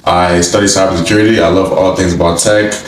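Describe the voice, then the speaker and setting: A young man from Nigeria talks as a podcast guest in an upbeat, eager way, with a fairly low voice. He sits back from the mic in a very echoey room with faint noise behind him. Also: fairly even intonation, quick pace, recorded from a distance. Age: young adult. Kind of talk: casual chat.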